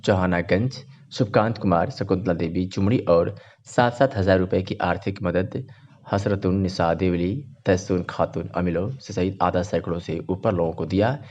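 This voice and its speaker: Male regional news voice-over, low-pitched, slightly lo-fi sound, reading from a script. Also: darkish tone. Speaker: news reporter and narrator. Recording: dull, muffled voice-over.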